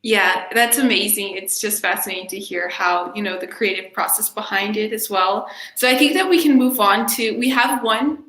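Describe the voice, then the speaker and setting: Bright young woman in a roomy room. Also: mid-range voice, normal speed, few pauses. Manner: encouraging, reads audience questions. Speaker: interviewer, student. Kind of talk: moderating a video Q&A. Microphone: video call. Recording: roomy video call audio.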